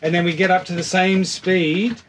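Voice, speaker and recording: Higher-pitched man explaining over outdoor noise. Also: flat delivery, normal speed. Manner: hands-on step-by-step explaining. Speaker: wakeboarder planning a stunt. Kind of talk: casual chat, explaining a plan. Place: open deck of an anchored catamaran, around a table. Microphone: camera a few feet away. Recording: clear outdoor background noise, clicks.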